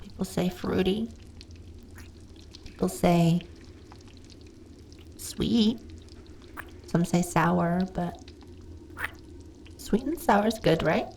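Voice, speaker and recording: Woman acting a slow, breathy slime monster girl. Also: lowish voice, darkish tone, slight background noise, exaggerated voice. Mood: hushed, shy. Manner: breathy, hesitant stammering, drifting into whispers. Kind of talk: intimate roleplay audio acting.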